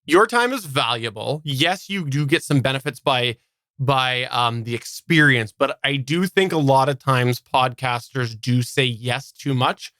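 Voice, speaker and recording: A man with a bright, crisp-edged voice talks as a podcast host in a casual discussion. He lays out advice earnestly, posing questions to make his point. The sound is dry, with very little room. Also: medium pitch, unhurried, dry recording.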